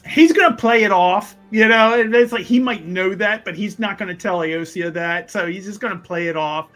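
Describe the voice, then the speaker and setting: Guy playing tabletop rpg, easygoing casual explaining, soft music in the background. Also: high voice, moderate pace. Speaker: tabletop game player. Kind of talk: casual in-game chat.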